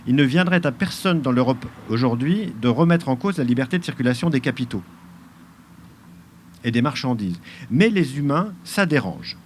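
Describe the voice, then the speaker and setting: A man's voice: medium pitch, expressive, normal speed, a little hiss or hum. A man on a debate panel, speaking earnestly and at length. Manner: earnest, long-winded.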